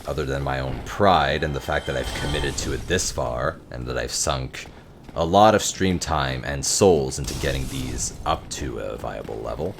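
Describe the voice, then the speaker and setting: Very deep-voiced man, self-mocking streamer. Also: normal speed, gravelly, noisy background. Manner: self-deprecating, wry, muttering curses. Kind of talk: casual gameplay commentary. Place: home office. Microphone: close desk mic.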